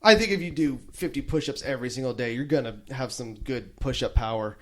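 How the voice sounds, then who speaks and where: A man's voice: medium pitch, fairly quick. A man chatting casually and comedically on a podcast.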